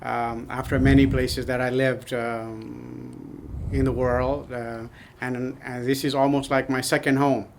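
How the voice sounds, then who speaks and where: A man's voice: medium pitch, flat delivery, measured pace, gravelly, slight background noise. A man from Nepal, answering interview questions hesitantly with many ums and uhs. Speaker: podcast guest. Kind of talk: casual interview.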